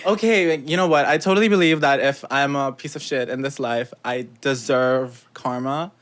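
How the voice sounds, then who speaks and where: Young adult woman, podcast guest, animated, laughs a lot, boom mic, small studio. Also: normal speed. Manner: animated, laughs often, thinking aloud. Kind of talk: casual conversation. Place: small studio room with brick wall. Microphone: studio mic on boom arm, headphones.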